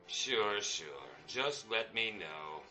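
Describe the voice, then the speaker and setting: A man playing a reluctant, sarcastic space adventurer in a radio sci-fi comedy, dry, halting and offhand. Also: on the low side, lively intonation, sharp tone, faint music behind, full character voice. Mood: dry, awkward.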